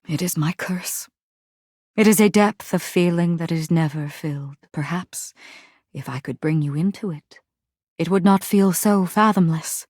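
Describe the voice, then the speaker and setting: A woman's voice: on the low side, dry recording, studio quality. A woman voicing a battle-weary, grandiose general in a video game, speaking fervently in archaic, poetic language with theatrical delivery. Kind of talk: dramatic video game character acting.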